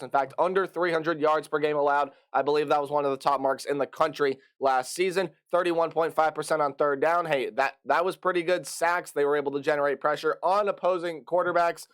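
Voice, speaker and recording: A young man with a slightly raised pitch runs through a college football preview in a chatty, upbeat way. His voice is hoarse and a bit rough from a lingering cold, yet it stays smooth and clear underneath. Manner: chatty, upbeat, rattles off player names and stats. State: hoarse, getting over an illness. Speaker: sports prediction channel host.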